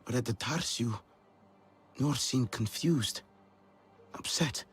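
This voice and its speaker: An actor playing a young, earnest alien clan survivor who is searching and uncertain, in a game cutscene. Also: male voice, medium pitch, slow pace, breathy edge, faint music behind. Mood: earnest, subdued. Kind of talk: dramatic game cutscene acting.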